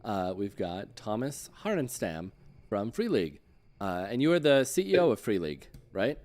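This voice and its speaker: A man with a fairly low voice hosts an interview show. He speaks casually and haltingly, feeling his way through introductions and questions. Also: big pitch swings, darkish tone. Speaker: show host.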